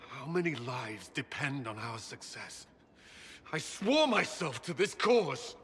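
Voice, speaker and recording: An actor playing an anguished, guilt-ridden former soldier in a game cutscene, speaking earnestly and haltingly with strain. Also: male voice, medium pitch, very animated, a bit bright. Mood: agitated, self-reproaching. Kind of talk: dramatic video game cutscene acting. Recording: long hall reverb, light background noise.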